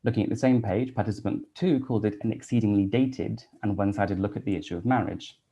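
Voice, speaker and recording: A man in his thirties from Wales, a teacher and researcher giving a prepared conference talk in a measured, read-out way with soft "um" fillers. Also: on the low side, husky, lo-fi sound.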